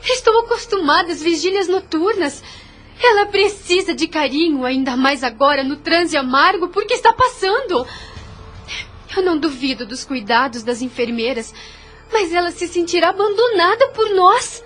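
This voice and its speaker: Actress as a high-voiced, gentle, devout young woman. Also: lively intonation, normal speed, soft background music. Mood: distressed, firm. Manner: earnest, formal, pleading. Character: devout, gentle, self-sacrificing young woman, quietly firm. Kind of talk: audio drama acting.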